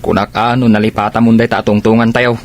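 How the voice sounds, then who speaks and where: A man's voice: fairly low pitch, flat delivery, fast, slight background noise, lightly acted. A man with an Ilocano accent playing an easygoing village drinking buddy who gets whiny, in a radio comedy drama.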